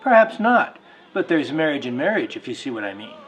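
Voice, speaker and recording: Fussy, practical bachelor suitor acted by a man, insistent, lively swooping delivery, middle pitch, dramatized novel. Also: fairly quick, soft background music, close to an everyday voice, poor audio.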